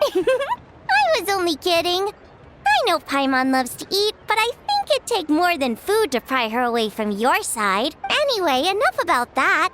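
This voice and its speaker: A woman's voice: very high-pitched, wildly swooping pitch, a little slow, background noise, full character voice. A woman voicing a cheerful, bossy young girl chef in a video game, with a perky, teasing delivery. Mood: cheerful, reassuring. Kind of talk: animated video game voice acting.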